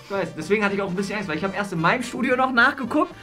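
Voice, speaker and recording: Casting: man, thirties, quick talker, casual vlog host, faint music behind. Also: sits high, lively intonation, pushed and bright. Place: indoors, small studio rooms. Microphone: handheld vlog camera at arm's length.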